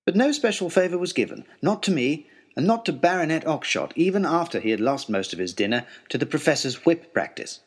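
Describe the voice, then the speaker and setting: Male narrator for a first-person adventure story: wry, formal, very animated, with a faintly husky edge. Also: on the high side, brisk.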